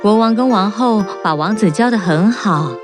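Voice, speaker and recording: A woman narrating a children's fairy-tale cartoon in a clear storybook manner. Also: mid-range voice, animated, moderate pace. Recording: narration over prominent music.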